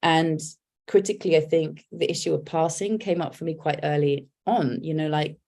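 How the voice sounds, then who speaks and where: Low-voiced reflective Englishwoman. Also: fairly even intonation, moderate pace, darkish tone. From England. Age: 40s. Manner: thoughtful, candid, many restarts and fillers. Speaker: guest, poet and writer. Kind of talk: reflective conversational interview.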